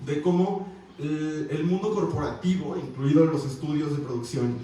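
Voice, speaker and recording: Mexican man, panel speaker, wry and animated, slightly high voice, echoey hall, mic at a distance. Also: slight background noise. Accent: Mexican Spanish. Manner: animated, wry, conversational with frequent fillers. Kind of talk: university panel discussion. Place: university auditorium.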